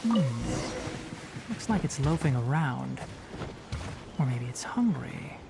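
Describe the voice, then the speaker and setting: A man voicing a young forest ranger scholar in an anime video game, calm, analytical and gently stern. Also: mid-range voice, vocal fry, over music, full character voice. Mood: pondering. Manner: measured, analytical, instructive.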